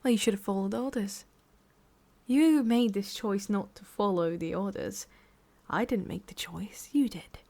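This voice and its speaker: Breathy, sadistic English boss lady, acted by a woman. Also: mid-range voice, a little mellow. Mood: condescending, menacing. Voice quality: breathy, often dropping into whispers. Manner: slow, taunting, condescending, with pauses and sighs. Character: sadistic, menacing female boss of demons, mockingly tender. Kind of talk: ASMR roleplay acting.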